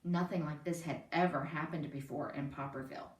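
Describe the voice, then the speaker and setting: A woman reading a children's picture book aloud in an expressive, emphatic way. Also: deep voice, brisk. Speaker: storyteller. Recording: roomy, distant microphone.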